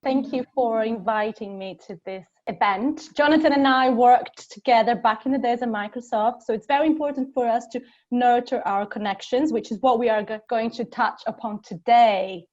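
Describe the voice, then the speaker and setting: A woman's voice: fairly high pitch, normal speed, darkish tone. A woman in her thirties from Brazil with a Brazilian accent, giving an upbeat webinar talk from her home. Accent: Brazilian Portuguese. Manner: upbeat, engaging the audience. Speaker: guest speaker. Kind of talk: webinar presentation. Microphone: video call. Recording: muffled video call audio.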